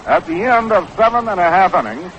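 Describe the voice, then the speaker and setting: A man's voice: high voice, big pitch swings, a little fast, fairly bright tone, dull audio, slight background noise. An American man calling baseball play-by-play in the style of a 1960s live radio broadcast. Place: outdoor ballpark broadcast booth.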